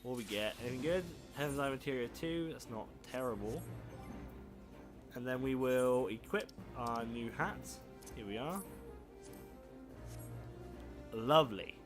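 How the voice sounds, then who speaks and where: A young man with a croaky, sore throat, casually commentating a video game, self-deprecating and swearing freely. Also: medium pitch, lively intonation, moderate pace. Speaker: gameplay commentator. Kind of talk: casual let's play commentary. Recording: game music playing behind.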